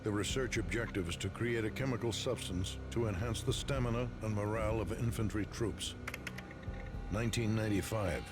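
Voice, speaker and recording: A man's voice: on the low side, moderate pace, lightly acted. A man playing an impersonal reader of classified military files in a video game, clipped and official. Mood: official, detached. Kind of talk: scripted game document readout. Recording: music behind the voice.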